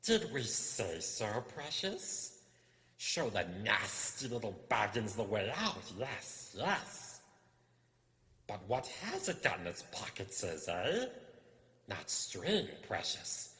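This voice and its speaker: A man's voice: fairly low pitch, very animated, pushed and bright, exaggerated voice. A man voicing a wretched, wicked, obsessive cave creature who hisses and whispers to himself in a strained voice, as in a storybook reading. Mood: sly, impatient. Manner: talks to himself, drawn-out muttering. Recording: echoey room with long reverb.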